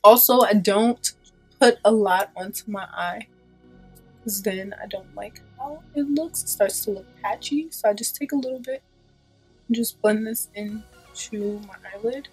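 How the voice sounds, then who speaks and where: A woman's voice: medium pitch, unhurried, husky, faint music behind, poor audio. Stuffy-nosed woman chatting through a makeup tutorial. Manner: chatty, loose, peppered with ums and likes. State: stuffy from a cold. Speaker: beauty vlogger. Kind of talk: casual makeup tutorial chat. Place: small room in front of a pink backdrop.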